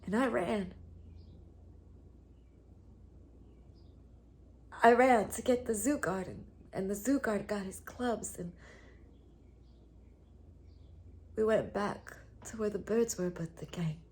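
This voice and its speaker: A woman playing a traumatised, lonely young woman in a theatre monologue, halting and intense, often slipping into a breathy hush. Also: medium pitch, lightly acted. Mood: distressed, then hushed, grieving.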